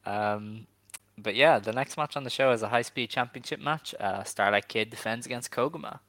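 A man hosting a casual podcast, talking in a relaxed, hesitant way with lots of ums. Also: mid-range voice, moderate pace, dry recording. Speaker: podcast host. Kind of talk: casual chat.

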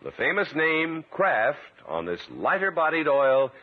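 A man with a husky voice announcing in a 1950s radio style, with an emphatic salesman's delivery. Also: a little high, big pitch swings, unhurried. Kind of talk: 1950s radio commercial and scripted narration. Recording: tinny old radio recording.

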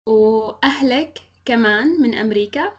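Fast woman in an echoey room. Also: on the high side, muffled recording. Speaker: Arabic teacher and interviewer. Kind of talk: vocabulary reading and simple learner interview.

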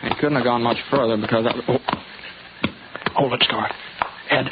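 An actor playing a laconic, steady Old West drifter in a 1950s radio western, with a folksy, understated American delivery. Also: male voice, mid-range voice, normal speed, lightly acted. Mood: watchful. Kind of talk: 1950s radio western acting and first-person narration. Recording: noisy, muffled 1950s radio recording.

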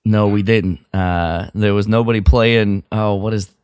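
A man's voice: lowish voice, fairly even intonation, a little slow, a little mellow, clean tone, no room sound. A man co-hosting a podcast in casual chat. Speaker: podcast co-host.

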